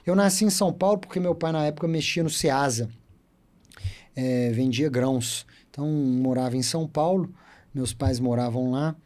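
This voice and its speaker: A man in his thirties with a Minas Gerais accent, a podcast guest telling his story in an animated, talkative way. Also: medium pitch, normal speed. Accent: Minas Gerais Portuguese. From Brazil. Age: 30s. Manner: chatty, animated storyteller who loves to talk. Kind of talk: casual storytelling interview.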